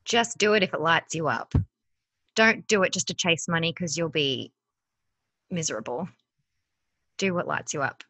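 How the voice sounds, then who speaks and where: A woman in her thirties, a TV host and actress, chatting as a podcast guest over a video call with a desk mic, bubbly and quick to laugh. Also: low voice, bright, forceful tone, no room sound. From Australia. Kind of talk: casual podcast interview. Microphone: desk condenser mic, video call.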